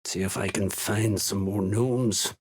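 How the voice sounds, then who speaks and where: An actor playing a surly, menacing dwarf slave hunter in a video game, sneering and blunt with a gravelly, husky voice. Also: male voice, fairly low pitch, steady tone, slow pace. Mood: surly, sardonic. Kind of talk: video game character voice lines.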